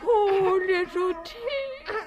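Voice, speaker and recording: An actress playing a tender, fretful farm wife in a radio drama, gentle, halting and emotional. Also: female voice, extremely high pitch, lively intonation, dull audio, a loud crowd, heavily acted. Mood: tender pity.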